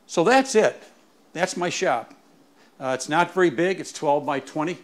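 A man giving an informal, explanatory tour of his small garage workshop as a woodturner, haltingly repeating words as he explains. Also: fairly high pitch, expressive.

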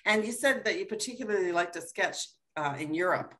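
A woman's voice: on the low side, expressive, a little fast, a bit bright. A middle-aged woman from Toronto interviewing a guest over a video call, asking short friendly questions. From Toronto, Canada. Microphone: webcam on video call.

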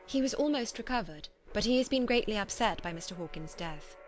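Modest young Victorian lady as a woman narrator plays her, demure, well-mannered, gentle, storybook reading with music behind. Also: medium pitch, animated, fairly quick, close to an everyday voice. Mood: modest, anxious.

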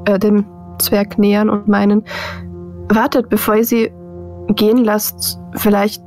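Female tabletop player, medium pitch, casual narration, soft music underneath. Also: flat delivery, a little slow. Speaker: tabletop role-play player. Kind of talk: casual game chat narrating her character.

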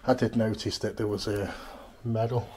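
A man's voice: fairly low pitch, fairly even intonation, moderate pace, darkish tone, slight background noise. A man narrating a walkthrough of an abandoned house in an offhand, chuckling way, recorded on a handheld camera indoors. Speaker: urban explorer vlogger. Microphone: camera mic, handheld walkthrough.

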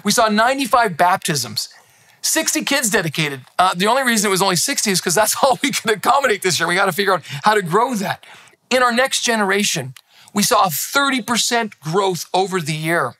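A man's voice: sits high, a little fast. A man in his fifties, a pastor grown up in Thailand, giving an earnest, encouraging sermon from a living room. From grew up in Thailand, now in Canada. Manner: earnest, encouraging, animated hand gestures. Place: living room with Christmas decor, indoors. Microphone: close camera, seated.

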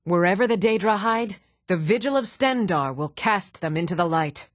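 An actress playing an earnest, dutiful woman of a fantasy realm in a video game, speaking in a measured, composed and formal way. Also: mid-range voice, expressive, dry recording, studio quality, close to an everyday voice. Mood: solemn, stern.

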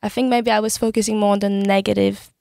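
A woman's voice: medium pitch, flat delivery, fast talker, vocal fry, dark tone, no room sound, studio quality. A young woman from Switzerland with a Swiss French accent, chatting as a guest on a podcast, laughing often and trailing off with "yeah". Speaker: guest singer-songwriter. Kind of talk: casual podcast chat.

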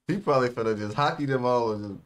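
A man's voice: mid-range voice, quick pace. A young man in casual reaction chat as a show host, talking in slang with plenty of bro and man. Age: young adult. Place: small room indoors, seated.

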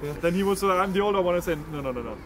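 A young man from Germany with a German accent answering an interview in friendly, halting English. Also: high-pitched, expressive, crowd noise. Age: young adult. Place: outdoors in a town park.

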